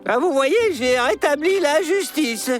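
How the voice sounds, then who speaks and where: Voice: high voice, expressive, a little slow. An actor voicing a boastful, touchy young male digger-dinosaur in a children's cartoon, pompous and grandiose. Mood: proud. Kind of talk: French-dubbed children's cartoon acting. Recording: faint music behind the voice.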